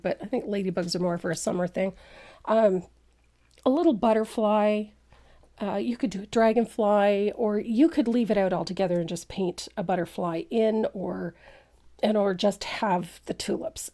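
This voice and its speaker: A woman's voice: mid-range voice, mellow, no echo, studio quality. Chatty, congested woman teaching painting. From Canada. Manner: chatty, rambling, self-deprecating, laughs often. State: congested from a cold. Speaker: painting instructor. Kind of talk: live painting tutorial, chatty.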